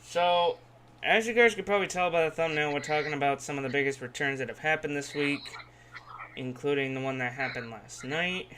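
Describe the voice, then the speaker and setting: Guy hosting a podcast, kinda high voice, casual and sweary, repeats stuff. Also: a little fast, background noise. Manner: informal, sweary, repeats himself. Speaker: podcast host. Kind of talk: casual chat and unscripted sponsor reads.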